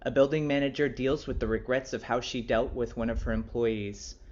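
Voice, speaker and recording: A man's voice: medium pitch, fairly even intonation, a little fast, darkish tone, lo-fi sound. A man hosting a casual interview, asking questions and addressing the audience in a conversational way. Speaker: interviewer, show host.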